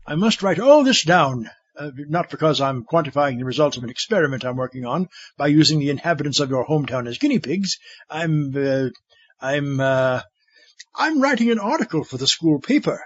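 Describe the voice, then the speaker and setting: A man's voice: on the high side, big pitch swings, a little fast, lo-fi sound. A man playing a giggly, self-absorbed mad scientist in a comedic audio drama, with a theatrical, pompous delivery. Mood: sly, flustered. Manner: theatrical, pompous, flustered backtracking.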